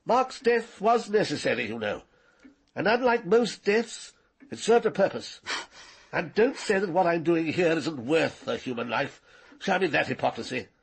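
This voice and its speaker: An actor playing an arrogant, cold-blooded older knight in a radio drama, precise and condescending. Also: male voice, fairly high pitch, sing-song, moderate pace, creaky, husky, heavily acted. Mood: cold, menacing. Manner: condescending, precise, coolly menacing.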